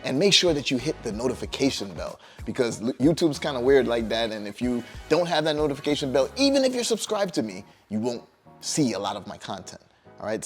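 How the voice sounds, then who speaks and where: A man hosting a podcast, speaking straight to listeners in a friendly, relaxed way. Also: mid-range voice. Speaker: podcast host. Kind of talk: casual channel announcement.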